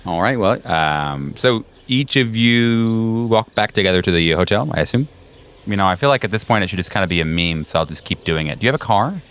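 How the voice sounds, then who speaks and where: A man's voice: lowish voice, animated, a little fast, fairly bright tone, clear, resonant tone. A man improvising narration as game master, in a casual, conversational way with some swearing.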